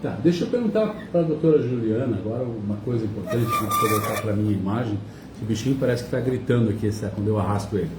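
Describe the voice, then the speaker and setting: A man's voice: on the low side, animated, quick pace, noisy background. A man hosting a radio talk show, asking his guests long, conversational questions. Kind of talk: casual interview chat. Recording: echoey room, mic at a distance.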